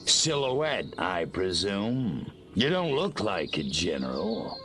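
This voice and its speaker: A man's voice: low-pitched, very animated, slow, a bit bright, exaggerated voice. A man playing a sarcastic, swaggering little alien invader who mocks humans in a video game. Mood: sardonic. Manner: sardonic, swaggering. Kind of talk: video game cutscene acting. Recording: music under the voice.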